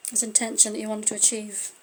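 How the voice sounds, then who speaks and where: A woman's voice: mid-range voice, completely flat delivery, fast, slight background noise, low-quality recording. Chatty Scottish woman reading tarot. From Scotland. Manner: chatty, thinking aloud, trailing off. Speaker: tarot card reader. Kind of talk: casual live-stream tarot reading. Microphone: phone or webcam on a live stream.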